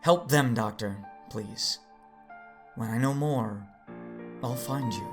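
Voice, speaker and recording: An actor playing a steady, weary Jedi father who narrates his own story in an earnest, measured audio drama style. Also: medium pitch, over music, natural voice. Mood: somber, reassuring. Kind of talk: first-person dramatic narration and acting.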